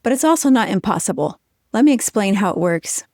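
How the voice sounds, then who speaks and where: A middle-aged woman hosts a podcast and talks her listeners through ideas in a clear, measured teaching tone. Her voice sits at an ordinary middle pitch and is recorded dry and close, with no room sound around it. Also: expressive, warm tone, no echo. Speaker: podcast host. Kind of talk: explanatory podcast talk.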